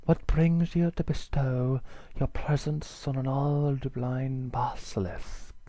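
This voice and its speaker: A man voicing an old blind basilisk in a story reading, courteous and humble, with a slow, careful, dry delivery and a put-on Central American accent. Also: mid-range voice, fairly even intonation, warm tone, dry recording, close to an everyday voice. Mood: apologetic, courteous. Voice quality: thin, dry, wheezy.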